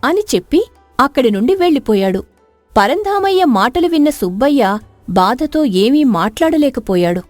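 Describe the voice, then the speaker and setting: A woman's voice: high-pitched, big pitch swings, moderate pace, a little mellow, no room sound. A woman storyteller reading a scripted moral story as narrator. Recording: dry studio narration over music.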